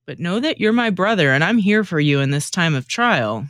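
A woman's voice: fairly low pitch, expressive, normal speed, fairly bright tone, no echo, studio quality. A woman acting an anxious, caring, awkward male disciple in a radio sitcom, comic and slangy. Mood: consoling. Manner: comic, hesitant, casual modern slang.

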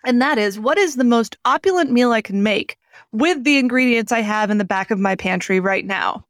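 A woman with a fairly high voice co-hosts a casual podcast. She is articulate and emphatic as she offers practical tips. The recording is close and dry. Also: normal speed, no echo. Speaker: podcast co-host.

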